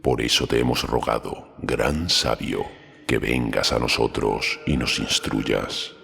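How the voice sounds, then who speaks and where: A man voicing a solemn ancient eagle-headed sage in a storybook, with a deep, full voice, speaking quietly and gravely. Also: exaggerated voice. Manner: slow, quiet, grave and formal. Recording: long hall reverb, music behind.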